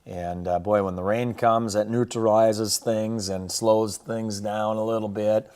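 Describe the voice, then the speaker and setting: American guy, football coach going over game highlights, low voice, pretty flat, lots of you knows. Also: a little slow, clean tone. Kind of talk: casual sports recap and highlight commentary.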